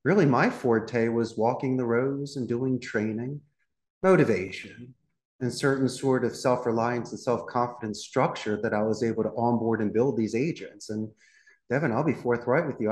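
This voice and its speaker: A man's voice: medium pitch, normal speed, darkish tone, roomy sound. A middle-aged man from Philadelphia, a call center CEO, speaking as a podcast guest in a confident, polished way. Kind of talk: podcast interview.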